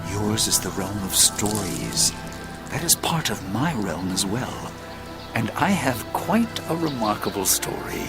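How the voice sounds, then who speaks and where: An actor playing a wise old sage and former schoolmaster, narrating an audio drama in a stately, deliberate, theatrical way over music. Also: male voice, on the low side, sing-song. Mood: coaxing, mysterious. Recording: loud music bed under the voice.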